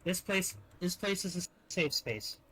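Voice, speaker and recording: A young man with a slightly higher-than-usual voice. He chats in improvised roleplay in a deliberate way, repeating the starts of phrases to make his point. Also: animated, sharp tone, husky, low-quality recording. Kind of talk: casual improvised roleplay chat. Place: online virtual-reality chat.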